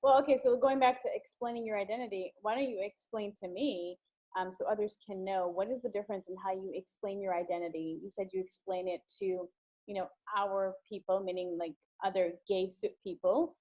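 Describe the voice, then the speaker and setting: A woman's voice: mid-range voice, a little fast, a little mellow, rough recording. A woman born in Ethiopia, interviewing a guest with long, conversational questions.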